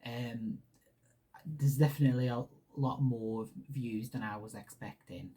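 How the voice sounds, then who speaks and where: A young man with a Hungarian accent tinged with Lancashire chats loosely as a podcast host, tripping over restarts and filling gaps with ums. His voice is mellow and warm, with a soft husky edge. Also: medium pitch, steady tone, unhurried. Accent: Hungarian with Lancashire English. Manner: rambling, many restarts and ums, laughs shyly. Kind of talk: casual solo podcast chat.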